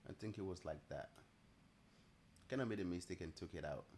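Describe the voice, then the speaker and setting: A man with a low voice and a mixed Nigerian-Italian accent hosts a live stream in an easygoing, chatty way. He thinks aloud as he talks and laughs easily. A faint hiss of noise sits underneath. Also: brisk, darkish tone. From Nigeria, raised partly in Italy. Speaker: trading livestream host. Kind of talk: casual live-stream chat answering viewers.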